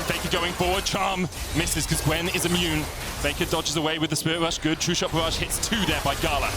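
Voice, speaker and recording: High-voiced male play-by-play caster, rapid and excitable, loud music bed. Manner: rapid, building excitement on big plays. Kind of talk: esports play-by-play commentary. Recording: loud game music behind.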